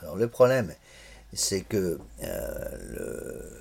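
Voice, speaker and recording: A man's voice: deep voice, big pitch swings, slow pace, gravelly, a little mellow, slightly rough. A man in his sixties giving a classroom lecture on religious morals, often stumbling and repeating small words before going on, with the odd cough. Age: 60s.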